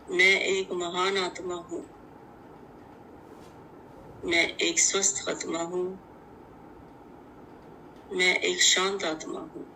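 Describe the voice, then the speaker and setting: A woman leads a guided meditation in measured, deliberate, instructive short phrases. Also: lowish voice, sharp tone, a little hiss or hum, low-quality recording.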